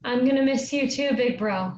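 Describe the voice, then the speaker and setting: A woman's voice: a little high, normal speed, natural voice. A woman playing a bold, playful, loyal younger sister in a staged play reading. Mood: determined. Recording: muffled, roomy, some background noise.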